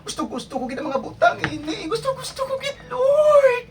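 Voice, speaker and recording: A scheming young thief acted by a woman with a melodramatic, hugely swinging voice and a Visayan Filipino accent. The lines are emphatic and covetous, radio-drama style, recorded away from the mic with clear background noise. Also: very high voice, big pitch swings, a little fast, full character voice. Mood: yearning. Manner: melodramatic, emphatic, repeating phrases. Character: gleeful, scheming young student thief who covets others' things. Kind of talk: amateur radio drama acting. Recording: distant mic, noisy background.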